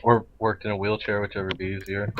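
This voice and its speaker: A man's voice: on the low side, little pitch movement, fast, background noise. A man in a role-play game, casually offering suggestions to the other players. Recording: slightly dull voice-chat audio.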